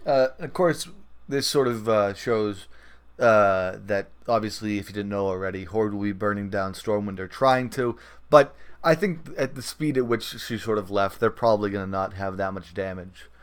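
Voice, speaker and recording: Male podcast host, lowish voice, casual game commentary, hesitant, discursive. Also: moderate pace, smooth.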